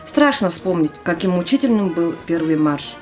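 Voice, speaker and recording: A woman's voice: on the low side, expressive, moderate pace, darkish tone, natural voice. A woman in an audio play acting a tiny, clumsy and eager young female private. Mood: rueful recollection. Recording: music under the voice.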